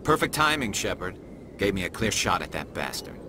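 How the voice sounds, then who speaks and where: A man's voice: sits low, sing-song, fairly quick, background noise. An actor voicing a cool, confident alien cop and marksman in a video game, with a wry manner. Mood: cool, satisfied. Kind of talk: video game cutscene acting.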